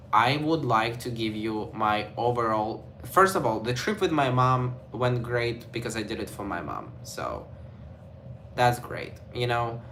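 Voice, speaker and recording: A man's voice: medium pitch, bright, forceful tone, a little hiss or hum. A young man from Ukraine with a Ukrainian accent, chatting casually to camera in a vlog, rambling and filling his talk with "like" and "you know". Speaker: vlogger.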